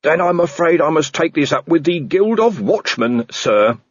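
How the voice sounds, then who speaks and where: A man's voice: fairly high pitch, very animated, moderate pace, breathy edge, lo-fi sound, voice pushed up. A man voicing an earnest, dogged young male constable who stays politely insistent with his superior. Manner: politely insistent, ends lines with sir.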